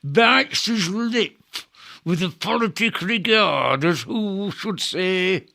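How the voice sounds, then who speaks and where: An actor playing a scurrilous, sneering Greek camp fool in a Shakespearean audio drama, with theatrical, exaggerated mockery. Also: male voice, sits high, lively intonation, slow pace, creaky, pushed and bright, exaggerated voice. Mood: gleeful mockery.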